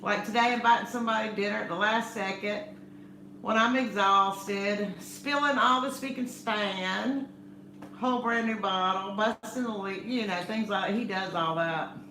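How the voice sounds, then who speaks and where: Loud, chatty woman with a bright voice. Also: mid-range voice, moderate pace, echoey room, a little hiss or hum, rough recording. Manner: chatty, laughs often, teasing. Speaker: channel co-host. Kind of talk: casual chat.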